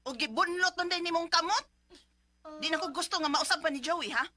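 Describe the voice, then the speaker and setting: A woman's voice: very high voice, brisk, pushed and bright. A woman playing a protective, indignant young mother in a radio drama. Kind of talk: Philippine radio drama acting.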